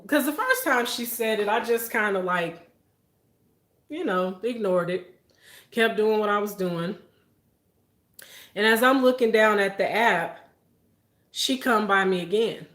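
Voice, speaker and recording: A young woman, telling a story to her viewers in a casual, animated way. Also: a little slow, pauses often, reverberant. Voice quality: deep voice for a woman. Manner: animated, laughing, talks straight to viewers. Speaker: vlogger.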